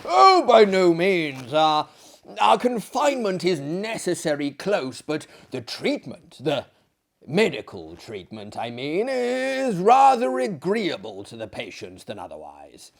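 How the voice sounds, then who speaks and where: A man voicing a genial, pompous, slightly crazed French gentleman who runs a madhouse, emphatic and halting, in a theatrical storybook reading. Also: fairly high pitch, wildly swooping pitch, creaky, exaggerated voice. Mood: genial, lofty. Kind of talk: theatrical audiobook character acting.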